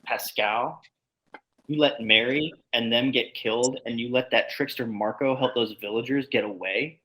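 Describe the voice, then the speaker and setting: An accusing ghost of a cavalry soldier, read by a man at a table read, with a medium-pitched voice. He needles like someone with a grudge, in a western drama. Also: normal speed, sharp tone, lightly acted, poor audio.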